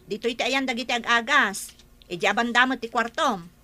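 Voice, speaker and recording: A woman voicing a sharp-tongued nanny and housekeeper who scolds and mocks the newcomers. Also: a little high, brisk. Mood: snide. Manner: scolding, sarcastic.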